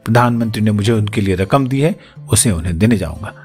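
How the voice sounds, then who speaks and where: Storybook donkey, voiced by a man: modest, hesitant, bewildered, expressive pitch, faint music behind. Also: fast talker, lightly acted. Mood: polite protest. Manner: hesitant, polite, protesting. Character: humble, bewildered talking male donkey. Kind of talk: audiobook character acting.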